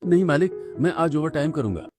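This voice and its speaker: A man's voice: fairly high pitch, animated, fairly quick, a little mellow, faint music behind, voice pushed up, lightly acted. A man voicing a poor, devoted young working brother in a cartoon story, earnest, reassuring and affectionate. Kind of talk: Hindi cartoon story dialogue.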